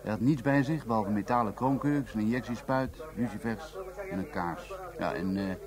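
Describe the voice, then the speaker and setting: A man's voice: on the low side, moderate pace, a crowd in the background, close to an everyday voice. An actor playing a sombre, determined Japanese man working with police in a radio play.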